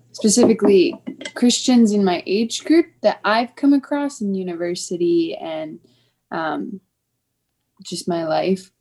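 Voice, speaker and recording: A woman in her late twenties talking as a podcast guest in a thoughtful, casual way, pausing between phrases. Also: mid-range voice, darkish tone, a little hiss or hum. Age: late 20s.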